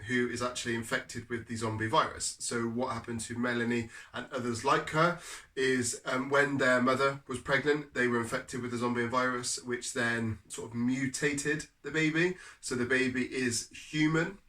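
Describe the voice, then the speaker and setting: A man's voice: mid-range voice, steady tone, normal speed, pushed and bright. British guy with a cold rambling about his fave books, nasal, kinda far from the mic. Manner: chatty, repeats words, frequent ums. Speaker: book reviewer. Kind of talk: casual book review vlog.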